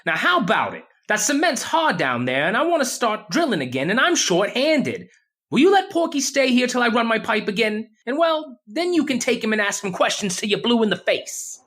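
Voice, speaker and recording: A woman plays a hard-driving oil crew boss in an old-time radio drama. The voice is high and swings widely, with blunt, bossy slang and an impatient edge. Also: sing-song, moderate pace, a bit bright. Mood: persuading.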